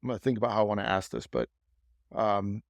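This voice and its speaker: A man's voice: fairly low pitch, very animated, fast, no room sound. A man hosting a podcast interview in a casual, informal way, feeling out his questions as he goes. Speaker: podcast host interviewing a chef.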